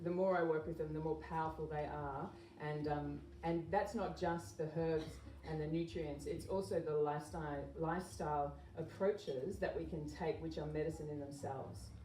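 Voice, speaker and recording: A woman gives an informal, explanatory health talk in an earnest, conversational manner. Her voice is soft-edged and mellow. It is recorded from a distance in a reverberant room, with faint noise behind her. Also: sits low, fairly even intonation, moderate pace, few pauses. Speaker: naturopath and health educator giving a talk. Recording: echoey room, distant mic, background noise.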